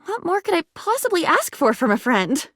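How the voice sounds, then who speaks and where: Eager, high-pitched, swooping game heroine. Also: female voice, big pitch swings, normal speed, fairly bright tone, dry recording, clean studio sound, exaggerated voice. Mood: moved, grateful. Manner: earnest, effusive, quaintly formal and theatrical. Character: newly self-aware diary come to life, eager, naive, devoted friend. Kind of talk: video game character acting.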